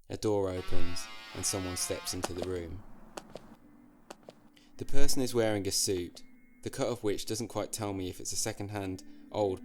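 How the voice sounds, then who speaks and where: A man narrates a first-person story in a low-pitched voice. His delivery is measured and dry, with deliberate pauses and a literary turn. Music plays clearly behind him. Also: a little mellow.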